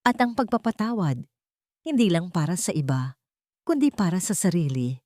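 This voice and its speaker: Devoted young husband read by a female narrator, solemn and tender, romance storybook, lower pitch. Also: sing-song, normal speed, darkish tone, dry recording, studio quality.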